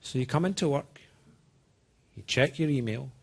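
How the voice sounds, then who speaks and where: A man from Scotland with a mixed Scottish and American accent gives an informal technical presentation, speaking deliberately and haltingly as he checks in with his listeners. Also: mid-range voice, animated, gravelly, slightly rough. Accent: Scottish-American mix. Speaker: software program manager giving a presentation. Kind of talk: informal technical talk to a small audience.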